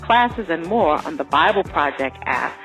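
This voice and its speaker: A woman's voice: low voice, animated, unhurried, a bit bright, soft background music, rough recording. A woman from Maryland giving a short read testimonial as a supporter, friendly and plain. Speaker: listener supporter in an ad.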